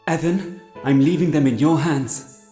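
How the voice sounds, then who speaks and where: A man voicing a calm, kindly, noble mentor in a fantasy game fan dub. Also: a little high, measured pace, close to an everyday voice. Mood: gentle, solemn. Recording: echoing voice under music.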